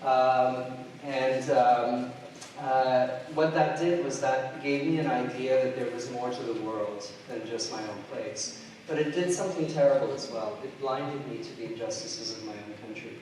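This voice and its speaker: A middle-aged man from Trinidad and Tobago giving a conference keynote, halting often with fillers and restarts. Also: medium pitch, darkish tone, slight background noise. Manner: hesitant, many fillers and restarts. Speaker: keynote speaker. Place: large lecture hall. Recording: distant mic in an echoey hall.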